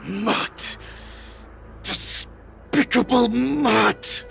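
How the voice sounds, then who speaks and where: A man playing a bitter, coldly seething character in a dramatic video game, with a raspy voice and drawn-out, venomous contempt. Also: very high voice, full character voice. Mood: scornful, seething.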